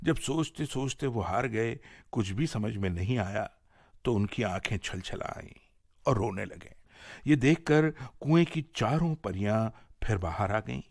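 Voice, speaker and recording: A man with an Indian accent tells a folk tale for radio. His pitch moves widely and expressively through each sentence, and the recording is close and dry. The delivery is scripted and dramatic. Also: measured pace, vocal fry, dry recording. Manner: dramatic, animated storytelling delivery. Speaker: storyteller and presenter on a radio show.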